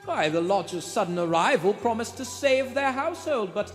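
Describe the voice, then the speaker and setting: An actor playing the suspenseful narrator of a vintage thriller, telling it theatrically in a live radio play. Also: male voice, sits high, lively intonation, moderate pace. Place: theatre stage before a live audience. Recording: echoey theatre with live music behind. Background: musical accompaniment.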